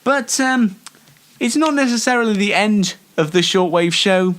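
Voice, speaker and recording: A man's voice: high voice, expressive, unhurried, a bit bright. A man hosting a casual comedy show, rambling in a self-deprecating, mock-formal way. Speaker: show host.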